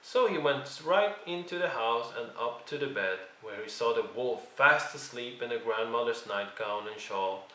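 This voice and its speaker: A man narrating a children's read-along story in an expressive storytelling style. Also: mid-range voice, a little slow, hardly any pauses. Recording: roomy, distant microphone.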